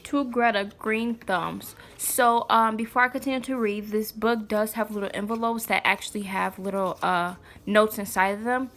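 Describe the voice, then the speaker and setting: A woman's voice: medium pitch, fairly even intonation, moderate pace, few pauses, a bit bright. A woman reading a children's picture book aloud in an informal way, with chatty asides to a small child. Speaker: mother reading aloud to a child, vlog host. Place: indoors, sitting on the floor.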